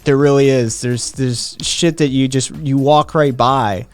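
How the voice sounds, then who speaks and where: A man's voice: mid-range voice, steady tone, measured pace, clean tone, faint music behind. A man hosting a live-streamed talk show, speaking casually with many hesitations and answering viewers' comments. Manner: hesitant, many "um"s, reads chat aloud. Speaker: podcast host. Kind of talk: casual chat.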